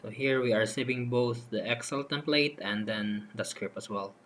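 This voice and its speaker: A man giving a halting, unscripted, step-by-step software demonstration as a voice-over for a screen recording. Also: medium pitch. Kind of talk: step-by-step screen tutorial narration. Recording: noticeable steady background noise.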